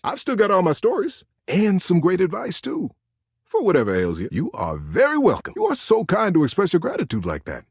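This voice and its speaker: A man voicing a kindly, folksy old childhood teddy bear in a video game, talking like a gentle, earnest storyteller. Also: on the high side, breathy edge, dry recording, clean studio sound, heavily acted. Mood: gentle, warm-hearted. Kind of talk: video game character dialogue.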